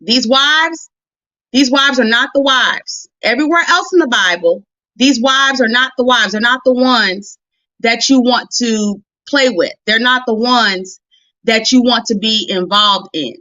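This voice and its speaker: High-voiced American woman preaching, roomy sound. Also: unhurried, bright, forceful tone. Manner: folksy, emphatic, repeats phrases for emphasis. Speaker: minister and show host preaching. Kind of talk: conversational storytelling sermon. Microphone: livestream audio. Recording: roomy, slightly dull livestream audio.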